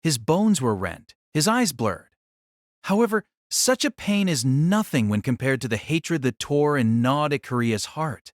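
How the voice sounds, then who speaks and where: A man's voice: a little high, sing-song, a little slow, no echo, studio quality. A man giving solemn, theatrical scripted narration of a fantasy novel.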